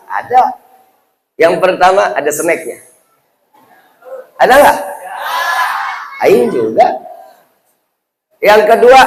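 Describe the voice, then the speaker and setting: A man's voice: sits high, slight background noise, poor audio. A man with a Jakarta Indonesian accent preaching Islam to an audience in a playful, colloquial way, often putting questions to his listeners. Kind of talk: humorous sermon to a live audience.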